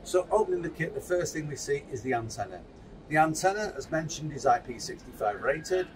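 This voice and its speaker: A man's voice: lively intonation, fairly quick, low-quality recording. A man in his fifties presenting and demonstrating a product kit at a trade show stand, filmed on a close phone camera. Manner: upbeat sales pitch, handling kit while explaining. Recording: trade show floor with clear background noise.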